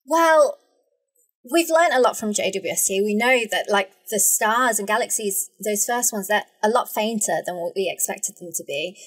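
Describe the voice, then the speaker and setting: A woman with a husky, slightly rough voice talks as an expert guest. Her explanations are casual and informal, like a relaxed conversation among colleagues. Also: medium pitch, normal speed. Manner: informal, sprinkles "like" and "right?". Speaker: scientist, panel guest.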